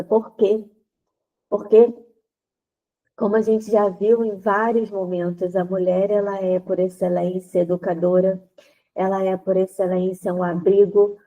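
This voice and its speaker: A congested woman speaks with a stuffy, nasal voice, gravelly and dark in tone. She talks at an unhurried pace, gently and deliberately, as she leads a women's faith group. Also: mid-range voice, highs cut off. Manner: gentle, deliberate, repeats phrases while searching for words. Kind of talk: prayer then slow spoken teaching.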